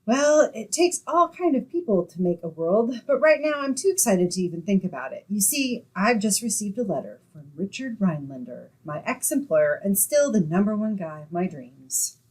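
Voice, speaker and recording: A woman's voice: medium pitch, normal speed, hardly any pauses, low-quality recording. A woman playing a sensible, wry young woman who narrates and acts in an old-time radio comedy. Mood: wry narrating. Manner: wry, expressive. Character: sensible, wry young woman, exasperated yet affectionate roommate.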